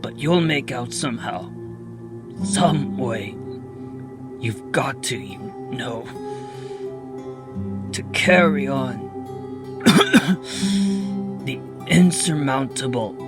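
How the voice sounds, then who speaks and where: A man acts out a dying father in a radio play, grave and resigned. He speaks extremely slowly and haltingly at an ordinary pitch, breaking off to clear his throat or cough. Loud music sits under his worried, feeble words. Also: lively intonation. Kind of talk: radio theater acting.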